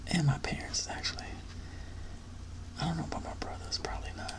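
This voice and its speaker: A man giving a soft-spoken, whispered ASMR ramble in a gentle, reflective manner with drawn-out phrasing. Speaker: ASMR video creator.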